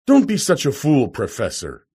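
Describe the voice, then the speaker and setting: Audiobook role: young journalist read by a man, well-mannered bluffer, animated intonation, husky voice. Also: medium pitch, moderate pace. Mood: defiant. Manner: polite, bluffing. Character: polite young male journalist posing as a student. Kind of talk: audiobook character voice.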